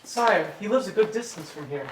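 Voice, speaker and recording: A man's voice: high-pitched, expressive, moderate pace, slight background noise. A man playing a timid, deferential young royal page in a staged musical reading, heard in a distant, roomy recording. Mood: deferential, informative. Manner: deferential, formal, hesitant to travel.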